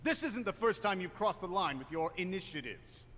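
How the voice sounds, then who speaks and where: A man's voice: sits high, animated, normal speed, fairly bright tone. A man playing a stern official in a video game, speaking formally and reprovingly. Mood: reproachful. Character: stern male official scolding a subordinate. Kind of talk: scripted game cutscene dialogue. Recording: long hall reverb on voice.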